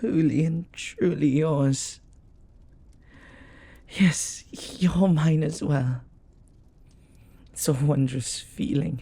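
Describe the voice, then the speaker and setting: A man voicing a flirty, devoted demon in an intimate romantic audio roleplay, speaking formally and reverently in a husky voice that often drops to a whisper. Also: on the high side, dark tone, exaggerated voice. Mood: devoted, adoring. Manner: slow, formal, reverent phrasing. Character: jealous, flirty, devoted male demon bound to master.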